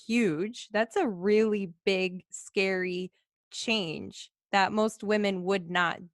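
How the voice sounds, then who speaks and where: A woman's voice: medium pitch, fairly even intonation, slow pace, no echo, clean studio sound. A woman hosting a podcast, chatty and enthusiastic, swearing casually as she responds to her guest. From United States. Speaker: podcast host.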